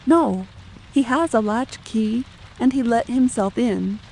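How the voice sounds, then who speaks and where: Casting: woman to play distressed protective widow, audiobook drama; unhurried formal delivery, faint rain underneath. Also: a little high, dark tone, a little hiss or hum, rough recording. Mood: guarded, fearful. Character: distressed, fearful middle-aged widow, protective mother. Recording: faint rain ambience behind.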